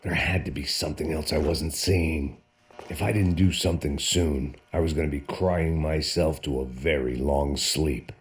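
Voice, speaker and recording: An actor playing a middle-aged, bitter American ex-cop who narrates in a brooding, deadpan noir style. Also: male voice, very deep voice, moderate pace. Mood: tense, dry. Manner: world-weary, brooding, deadpan. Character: weary, bitter, self-loathing American ex-cop antihero. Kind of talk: scripted noir voice-over narration and game dialogue.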